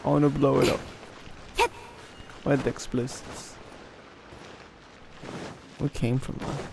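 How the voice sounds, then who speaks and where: A man's voice: medium pitch, noisy background. A man doing casual gameplay commentary as a streamer, blunt and slangy, muttering to himself. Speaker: game streamer. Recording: game music clearly behind.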